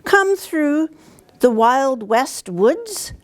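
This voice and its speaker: A polite male faun from a storybook, acted out by a woman reader. The voice is lifted in pitch. He is formal and questioning, with an anxious, guilty conscience. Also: very animated, drawn-out words, exaggerated voice. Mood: curious, courteous.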